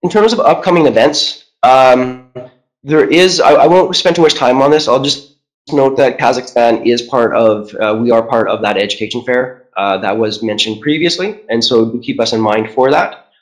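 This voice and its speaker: A middle-aged man giving a webinar presentation as a trade commissioner, measured, with many "uh" fillers and restarts, on a video call. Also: medium pitch, poor audio. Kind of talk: webinar presentation over slides.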